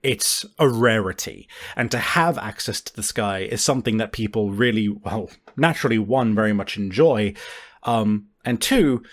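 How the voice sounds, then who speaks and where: A man narrating a tabletop game as game master, conversational and halting, with deliberate pauses. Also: fairly low pitch. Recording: music playing behind. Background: background music.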